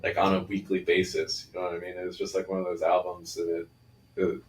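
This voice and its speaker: Band dude, super deep monotone voice, kinda far from the mic. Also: male voice, fast talker, slight background noise. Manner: relaxed, hesitant, many fillers. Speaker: band member. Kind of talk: casual podcast chat. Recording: roomy echo, distant mic.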